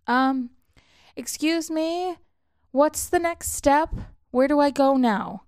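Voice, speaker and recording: A woman's voice: sits high, takes it slowly, a little mellow, dry recording, pitched above the performer's own voice. Woman acting audio-story heroine, anxious, hesitant, exasperated, urgent pleading, dry sound. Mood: anxious, calling out.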